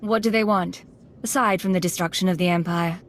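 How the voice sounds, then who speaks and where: A woman's voice: on the low side, fairly even intonation, a little fast, slight background noise, natural voice. A woman playing a businesslike intelligence agent in a video game, composed, clipped and controlled. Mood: businesslike, deferential. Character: cool, businesslike female imperial intelligence agent.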